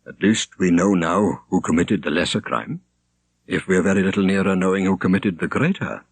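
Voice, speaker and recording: A man voicing a shrewd, kindly older monk in an audiobook, measured, thoughtful and gently wry. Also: low-pitched, husky, no echo. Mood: resigned. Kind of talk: audiobook character reading.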